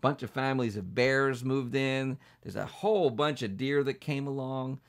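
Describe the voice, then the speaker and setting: A man telling a children's bedtime story as a pastor, building it up dramatically from hushed to emphatic. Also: medium pitch, moderate pace.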